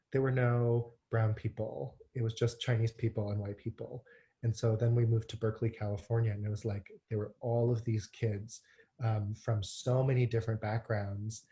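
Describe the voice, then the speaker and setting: A man in his thirties from Hong Kong, a guest telling his life story in a relaxed, conversational way with frequent fillers and restarts. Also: lowish voice.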